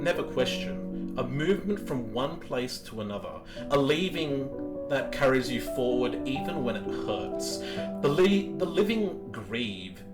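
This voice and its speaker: A man running a tabletop game as game master, narrating in a slow, solemn storyteller's manner with deliberate pauses. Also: mid-range voice. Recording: loud guitar music behind the voice.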